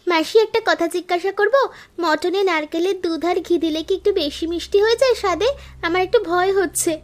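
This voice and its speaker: A young woman voicing a lively, modern younger daughter-in-law in a cartoon, chirpy and affectionate. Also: extremely high pitch, a little fast. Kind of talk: cartoon voice acting.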